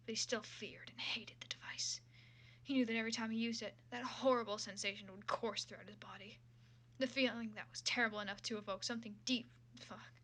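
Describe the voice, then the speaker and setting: A woman's voice: fairly high pitch, pushed and bright, slightly rough. A woman reading fan fiction aloud with casual, irreverent and sometimes profane commentary.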